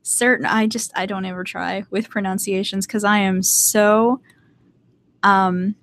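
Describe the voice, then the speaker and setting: A woman's voice: medium pitch, fairly even intonation, unhurried, a little hiss or hum. Woman with a slight southern twang just chatting on stream, rambly, laughs a lot, self-deprecating. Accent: light Southern US, North Carolina. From Southern United States. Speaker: streamer hosting a live hangout. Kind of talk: casual chat with a live chat.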